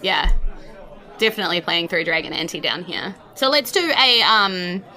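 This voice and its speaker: A woman's voice: on the low side, expressive, normal speed, pushed and bright. A woman, narrating a tabletop game as game master in an informal, conversational way with casual asides.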